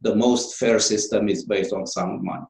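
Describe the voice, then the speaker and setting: A man's voice: on the low side, fairly even intonation, normal speed, creaky, darkish tone, reverberant. A man with a Romanian accent, a guest author answering interview questions in a hesitant way, with many fillers and restarts.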